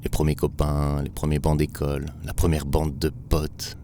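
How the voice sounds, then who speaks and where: A man from Belgium narrating a documentary in a slow, measured, reflective voice-over. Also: rumbling low, completely flat delivery, dark tone, a little hiss or hum.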